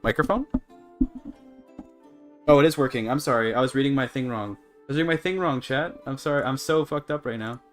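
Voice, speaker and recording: Male game streamer, Canadian accent, average pitch, loose rambling apologetic delivery, music underneath. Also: fairly quick, pauses often, a bit bright. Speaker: live streamer. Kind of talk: casual gaming livestream chat.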